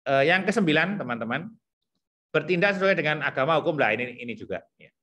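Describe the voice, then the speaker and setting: A man with a thick Javanese accent explaining teacher competency criteria to colleagues, repeating himself and filling pauses as he thinks aloud. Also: a little high, lively intonation, sharp tone. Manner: repetitive, thinks aloud with many fillers.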